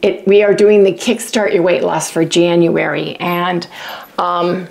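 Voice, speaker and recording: An older woman with a cold hosting a home cooking vlog, chatting casually to her viewers in a hoarse, throat-clearing voice. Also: fairly low pitch, flat delivery, unhurried, a little mellow, rough recording. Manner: chatty and folksy, addresses viewers as "you guys". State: sick, losing her voice, coughing. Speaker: keto cooking channel host. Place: home kitchen, indoors. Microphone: lapel mic.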